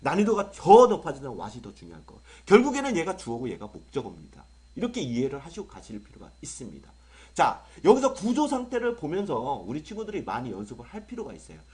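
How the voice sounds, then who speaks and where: A young adult male English tutor with a sore throat from a cold, lecturing in an excitable, exaggerated way full of rhetorical questions. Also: fairly high pitch, quick pace, few pauses, breathy edge. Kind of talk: online exam-prep lecture.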